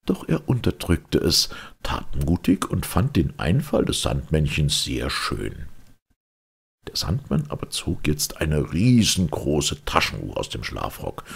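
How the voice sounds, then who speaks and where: A man reading a children's fairy tale as an audiobook narrator, in a theatrical, expressive way. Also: very deep voice, creaky, slightly rough. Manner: theatrical, dramatic storytelling.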